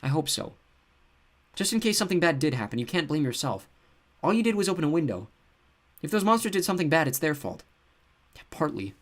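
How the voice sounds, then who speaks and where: A man's voice: fairly high pitch, sing-song, brisk, pauses often, pitched above the performer's own voice. A man voicing a frightened but insistent young girl in an audiobook. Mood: anxious. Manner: earnest and insistent. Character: scared, stubborn girl, about twelve.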